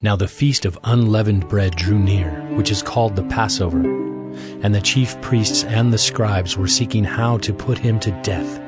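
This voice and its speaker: A male narrator reading scripture in a solemn, measured way. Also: fairly low pitch, fairly even intonation, music in the background.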